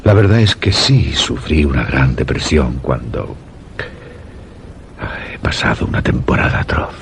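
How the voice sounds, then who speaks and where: An actor playing an obsessive, unhinged widowed master of a house in a suspense radio drama, courteous yet intense. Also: male voice, a bass voice, very animated, a little slow, slight background noise, heavily acted. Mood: controlled, courteous. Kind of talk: Spanish-language suspense radio drama acting.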